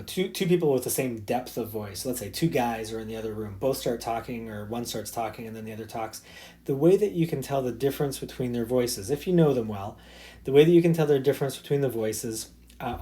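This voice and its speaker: A man's voice: medium pitch, moderate pace, mellow. Man teaching physics in easy, loose talk. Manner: casual, loose explaining with many fillers. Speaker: high school physics teacher. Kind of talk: recorded slide lesson.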